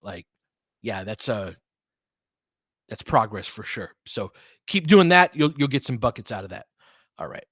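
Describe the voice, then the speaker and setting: A man's voice: lowish voice, very animated, unhurried, pauses often, a bit bright, breathy edge, no echo, studio quality. A man doing casual live basketball play-by-play and analysis, conversational and openly rooting for his team with exclamations on big plays.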